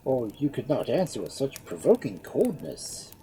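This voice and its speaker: Woman reader as an impetuous young nobleman, loud and pleading, storybook. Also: rumbling low, huge pitch swings, normal speed, a little hiss or hum, pitched above the performer's own voice, exaggerated voice, rough recording. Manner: pleading, exclamatory. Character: passionate young male lord, impetuous suitor. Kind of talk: storybook reading.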